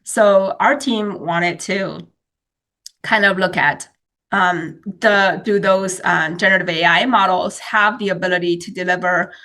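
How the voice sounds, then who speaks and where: A woman's voice: on the low side, fairly even intonation, unhurried, bright, forceful tone. A woman whose first language is Chinese, explaining research in a webinar presentation with many fillers and restarts, speaking over a video call.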